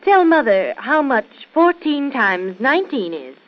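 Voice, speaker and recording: Puzzled radio-drama mother, lively high voice. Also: a little slow. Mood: puzzled surprise. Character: puzzled, protective mother of a six-year-old prodigy. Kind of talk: old-time radio drama acting. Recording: muffled vintage radio recording.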